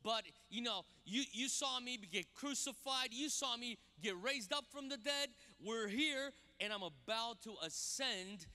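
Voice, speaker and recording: A man, a guest pastor preaching on a church stage into a handheld mic, animated and often repeating words as he starts a sentence. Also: extremely high pitch, unhurried, few pauses. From Brazil. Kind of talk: sermon with personal stories. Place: large church stage with audience. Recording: long hall reverb.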